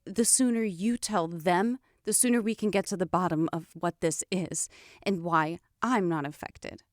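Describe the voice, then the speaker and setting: An actress playing an earnest, worried but assertive fiancée in a survival horror audio drama. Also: female voice, fairly low pitch, moderate pace, no echo, close to an everyday voice. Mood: concerned, pleading. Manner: earnest, urgent. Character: worried, assertive female fiancée of a quarantined man. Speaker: voice actor.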